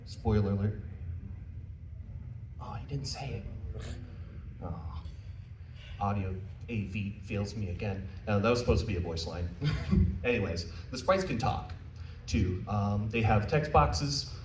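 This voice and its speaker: A man's voice: on the low side, a little slow, long pauses, darkish tone. American male panel presenter, informal and jokey; echoey room recording with faint background noise. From United States. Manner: casual, self-deprecating, jokey asides. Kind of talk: informal presentation to a live audience. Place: indoor convention panel room. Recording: roomy, distant mic, faint noise.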